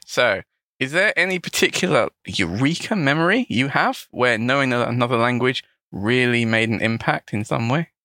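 Gravelly lively man. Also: mid-range voice, moderate pace, no echo, studio quality. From England. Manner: relaxed, thoughtful, slightly hesitant with small restarts. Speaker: podcast co-host, English teacher. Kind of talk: casual podcast chat.

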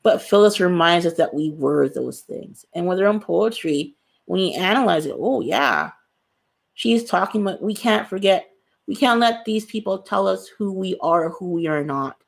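A woman's voice: on the low side, expressive, normal speed, gravelly. A woman author answering interview questions in an earnest, emphatic way, repeating phrases for stress. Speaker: author, guest.

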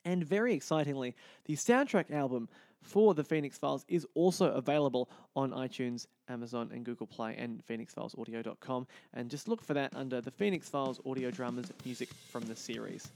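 A man's voice: medium pitch, a little fast, a little mellow, no room sound. A man hosting a podcast, chatting with a guest and addressing listeners. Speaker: podcast host. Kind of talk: podcast interview and sign-off.

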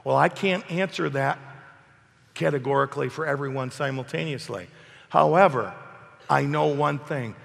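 Hoarse pastor guy preaching hard, gravelly voice, big echoey church. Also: mid-range voice, normal speed, fairly bright tone. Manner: emphatic, asks the congregation questions. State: hoarse from a cold. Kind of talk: sermon. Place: church hall.

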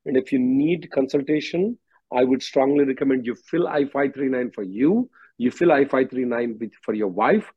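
Husky man on a muffled conference call. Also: medium pitch, moderate pace, no room sound. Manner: direct, instructive. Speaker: immigration attorney hosting a call-in. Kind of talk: question-and-answer legal advice call. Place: conference call, close dry sound.

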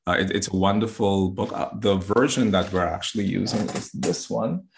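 A man's voice: low-pitched, moderate pace, gravelly. A man from the Bahamas, a guest astrologer and yoga teacher, talking earnestly in a casual interview and often restarting his words.

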